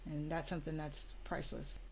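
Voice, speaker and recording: A woman co-hosting an interview, asking questions in an expressive, friendly way. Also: sits low, a little fast, creaky, slightly rough. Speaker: interview co-host.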